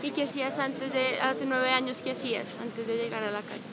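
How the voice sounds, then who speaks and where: A woman's voice: high voice, steady tone, a little fast. Probing woman interviewer. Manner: probing, rephrasing questions. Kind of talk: street interview. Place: city park outdoors. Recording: outdoor crowd noise. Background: street crowd.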